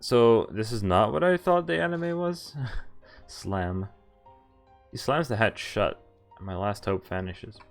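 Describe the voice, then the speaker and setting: A man streaming a game, reading a visual novel aloud in expressive first person with casual, offhand asides. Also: on the low side, slow, vocal fry. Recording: music behind the voice. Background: game music.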